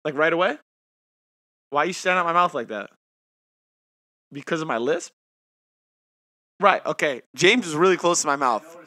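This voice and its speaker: A young man in his twenties chats casually as a podcast co-host, speaking with a noticeable lisp. His voice is bright and forward, recorded close and dry with no room sound. He rambles and pokes fun at himself. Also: on the high side, lively intonation, moderate pace, long pauses, dry recording. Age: 20s. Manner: talks with a lisp from new aligner trays; chatty, self-mocking. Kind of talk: casual chat.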